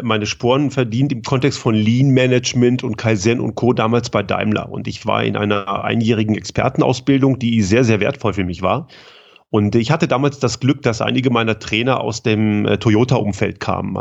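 German guest consultant, gravelly mid voice, measured and explanatory, dull lo-fi line. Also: male voice, fairly low pitch, fairly even intonation. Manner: thoughtful, explanatory, frequent hesitations. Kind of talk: conversational interview answers.

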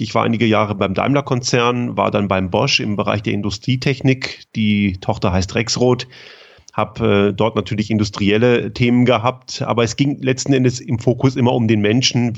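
A man's voice: lowish voice, muffled recording. A man who grew up in Germany, a consultant explaining his work thoughtfully in an interview, with frequent hesitations. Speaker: guest, lean consultant. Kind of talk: conversational interview answers.

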